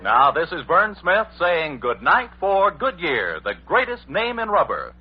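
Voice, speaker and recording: A male announcer closes an old radio show formally and emphatically. His high, husky voice moves in lively swings on a dull, lo-fi vintage recording. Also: unhurried. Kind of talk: 1940s scripted radio sign-off. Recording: muffled old radio broadcast.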